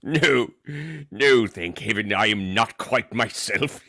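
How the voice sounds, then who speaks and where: A man's voice: lowish voice, slow, sharp tone, dry recording, studio quality, full character voice. A man playing a reformed old miser in a radio play, theatrical and teasing, with chuckles in his delivery. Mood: gleeful, merry. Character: reformed old miser, gleeful, mock-stern then merry. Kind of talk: stage-style radio play acting.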